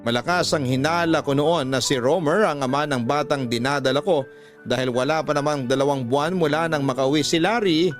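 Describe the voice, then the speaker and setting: A man voicing a troubled pregnant woman's letter as measured, confessional radio drama narration. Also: a little high, faint music behind, natural voice. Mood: anxious, confessional. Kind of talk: scripted first-person radio drama narration.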